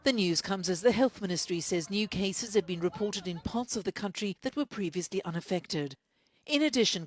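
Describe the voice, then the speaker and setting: Woman presenting world news. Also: medium pitch. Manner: even, formal delivery. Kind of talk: scripted news reading.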